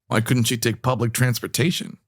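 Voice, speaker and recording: An actor playing a smooth-talking, amused murderer and lover in a noir comedy radio drama. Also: male voice, mid-range voice, flat delivery, fairly quick, dry recording, studio quality, lightly acted. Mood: amorous, gloating. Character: smooth-talking, callous male killer and lover.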